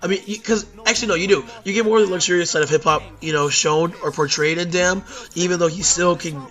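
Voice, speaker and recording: A hoarse young man ranking albums in a rambling, casual talk, full of fillers and self-corrections. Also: sits high, fairly even intonation, fast talker, sharp tone, faint music behind. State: hoarse from losing voice at a concert. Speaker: YouTube music reviewer.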